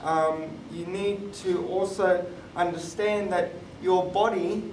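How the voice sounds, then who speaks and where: A man's voice: high-pitched, fairly even intonation, slow. A man in his twenties giving an explanatory personal talk as a speaker on a live panel. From born in Thailand, moved to Australia. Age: 20s. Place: conference room, live event. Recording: roomy, distant mic, clear background noise.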